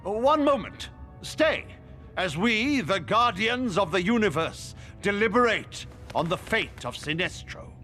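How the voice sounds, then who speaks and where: A man acting a solemn, suspicious alien guardian in a video game, speaking in a formal, deliberate way. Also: high voice, lively intonation, pushed and bright, soft background music, heavily acted.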